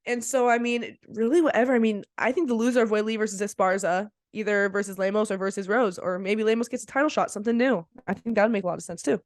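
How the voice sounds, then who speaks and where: A woman's voice: medium pitch, steady tone, fast talker, hardly any pauses, dry recording. A woman with a slight cold co-hosting a podcast, giving casual, slangy and enthusiastic fight analysis close to the mic. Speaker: podcast co-host.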